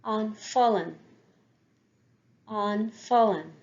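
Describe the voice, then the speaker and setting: Woman language teacher, unhurried repetition drills, dull lo-fi sound. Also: mid-range voice, darkish tone. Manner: says each word several times slowly for learners to repeat. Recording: dull lo-fi recording.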